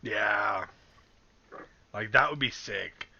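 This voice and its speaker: A man chatting excitedly and casually as a player in an online tabletop game, slangy and swearing freely. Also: fairly low pitch, extremely slow, gravelly, dull audio.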